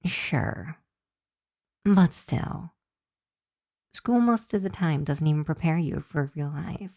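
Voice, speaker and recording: Woman doing a teasing vampire college girl, asmr roleplay, mellow slow voice, very dry close sound, playful. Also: sits low, lively intonation, no room sound, full character voice. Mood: teasing, argumentative. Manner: playful, teasing, drawn-out delivery with breathy asides.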